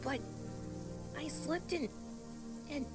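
A performer voicing a small, timid, earnest turtle child in a motion comic, speaking haltingly. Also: high-pitched. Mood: tearful, scared. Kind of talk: scripted motion comic voice acting. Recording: loud music behind the voice.

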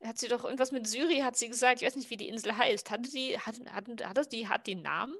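A woman's voice: fairly high pitch, fast, fairly bright tone, dry recording, studio quality. A young woman playing a tabletop game, talking casually in character with hesitant, stammering starts. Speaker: tabletop player. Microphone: headset on video call.

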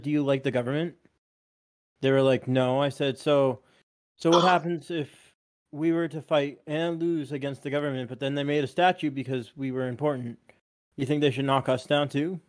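A man's voice: medium pitch, a little mellow. A man in his late twenties chatting casually on a podcast, rambling and arguing his point with plenty of fillers. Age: 20s. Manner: rambling, argumentative, many fillers. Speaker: podcast co-host. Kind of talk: casual chat.

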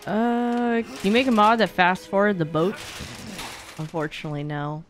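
A woman's voice: mid-range voice, fairly even intonation, normal speed, soft background music. A young woman giving casual, playful live commentary while streaming a video game, with mock exasperation. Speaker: streamer, speedrunner.

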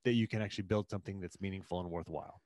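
A man in his forties, a performance coach, answering interview questions in an earnest, animated way from a home office, talking into a studio mic. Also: low-pitched, racing, dry recording. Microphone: studio mic in front of him.

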